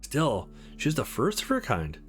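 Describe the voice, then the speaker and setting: A man's voice: medium pitch, wildly swooping pitch, a little slow, faint music behind. Man reading Sophie, a brusque woman doctor. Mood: dismissive.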